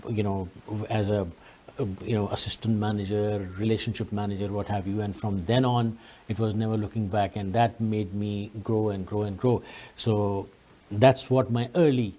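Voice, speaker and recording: A middle-aged man with a Pakistani accent tells his story as a guest on a talk show. His voice is fairly low and noticeably mellow. He speaks courteously, in a conversational way. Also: level tone, moderate pace. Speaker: interview guest.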